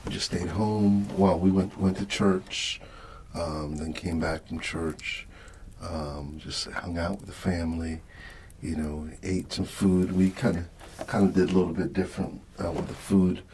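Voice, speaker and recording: Slow, very low-voiced middle-aged man. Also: fairly even intonation, a little mellow, a little hiss or hum. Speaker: ASMR channel host. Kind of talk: ASMR unboxing and casual rambling.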